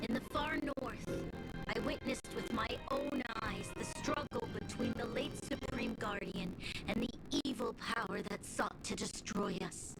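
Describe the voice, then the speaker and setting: A woman's voice: low voice, vocal fry, full character voice, low-quality recording. A woman playing a young, resolute leader giving a solemn, earnest inaugural speech in a fantasy game. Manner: solemn, earnest, measured oratory. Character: young female supreme guardian, solemn, resolute leader addressing her people. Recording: game audio with loud music underneath. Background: prominent game soundtrack music.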